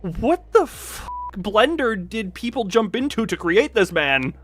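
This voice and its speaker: A man doing livestream commentary over a story game, reading it aloud dramatically with animated, incredulous asides and some swearing. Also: high voice, unhurried, soft background music.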